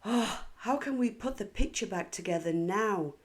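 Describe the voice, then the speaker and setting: A woman's voice: mid-range voice, expressive, normal speed, voice pushed up, lightly acted. A woman voicing an earnest, eager little girl in a children's storybook. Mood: reluctant, cross.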